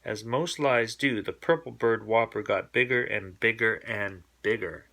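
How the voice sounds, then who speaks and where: Bright-voiced man reading a bedtime story. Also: on the low side, a little slow, creaky. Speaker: children's bedtime story reader. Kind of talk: expressive read-aloud storybook narration.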